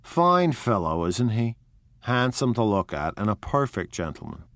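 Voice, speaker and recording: A man voicing an older male gangster, gloomy and sentimental, with broken grammar and a slow, nostalgic way of talking. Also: lowish voice, very animated, fairly bright tone, no echo, full character voice. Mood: brooding. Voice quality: broken, ungrammatical speech.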